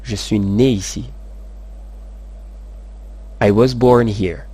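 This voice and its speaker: Man teaching English, low voice. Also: steady tone, warm tone, breathy edge. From Madagascar. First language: Malagasy. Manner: slow, careful model sentences between fast explanations. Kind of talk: language lesson, explaining in Malagasy and French.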